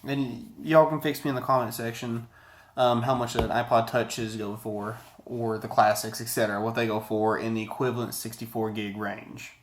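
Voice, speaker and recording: An American man in his thirties with a mid-pitched voice talks through an audio gear review. He is conversational and loose, doubling back on words to stress a point. He sounds like he is at a desk in a small office, speaking to a camera. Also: level tone, normal speed, slight background noise. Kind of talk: casual video product review. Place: small office room indoors.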